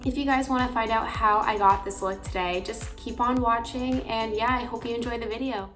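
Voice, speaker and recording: A young woman with a raspy voice, chatting casually through a makeup tutorial with lots of filler words. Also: fairly high pitch, steady tone, brisk, music in the background. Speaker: beauty vlogger.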